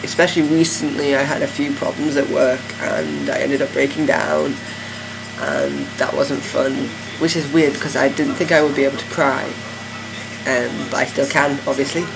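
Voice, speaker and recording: A man's voice: mid-range voice, moderate pace, gravelly. A man giving a casual video diary update, talking hesitantly with many fillers and restarts. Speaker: vlogger. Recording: steady air conditioning hum.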